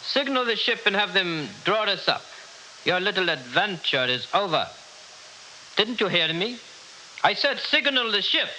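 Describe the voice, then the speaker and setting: An imperious leader with a high voice that swoops widely. He is pompous and formal, quick to give orders and easily rattled, in an old radio play with clear background noise. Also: sing-song, bright, forceful tone, full character voice. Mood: furious, panicked. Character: imperious head of state, haughty, easily panicked. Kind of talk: 1960s radio drama acting. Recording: noisy old radio recording.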